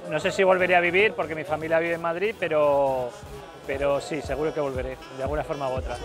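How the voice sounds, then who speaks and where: A man's voice: a little high, little pitch movement, quick pace, crowd noise. Spanish man chatting over music. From Spain, Aragon. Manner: conversational, explanatory. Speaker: featured guest showing the area. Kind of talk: casual guided-tour chat. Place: outdoors, airfield and village streets.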